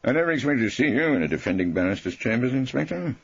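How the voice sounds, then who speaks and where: Man acting a gravelly, theatrical old barrister. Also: mid-range voice, sing-song, fast, dull audio, pitched above the performer's own voice, exaggerated voice. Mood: breezy. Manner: wry, florid, mock-courteous. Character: irreverent, witty old male barrister, grandly theatrical.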